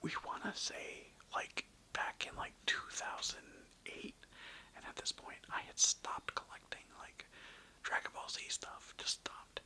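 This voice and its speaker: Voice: unhurried, sharp tone, low-quality recording. A young adult whispering an informal, rambling ASMR toy show-and-tell close to the mic. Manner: rambling, informal, occasional swearing. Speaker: content creator showing a toy collection.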